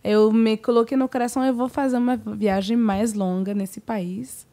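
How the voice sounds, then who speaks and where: A woman in her thirties from Montreal, a singer being interviewed, speaking in a soft, measured way. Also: a little high, fairly even intonation. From Montreal, Canada. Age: 30s. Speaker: guest singer. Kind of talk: interview conversation.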